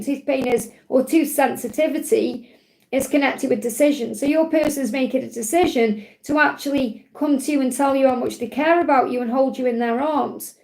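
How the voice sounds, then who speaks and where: Croaky high-pitched lady hosting a live card reading, soothing, keeps repeating herself. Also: a little mellow, poor audio. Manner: soothing, reassuring, repeats key phrases. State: croaky voice from overwork. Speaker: spiritual card reader, livestream host.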